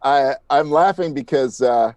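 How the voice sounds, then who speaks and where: A man's voice: medium pitch, a little slow, darkish tone. A man speaking casually as an interview guest, a podcast creator giving his thoughts.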